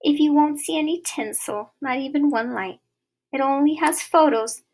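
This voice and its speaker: A woman doing a gentle, high-pitched old woman. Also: normal speed. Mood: fond. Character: kind lonely old woman, gentle and chatty.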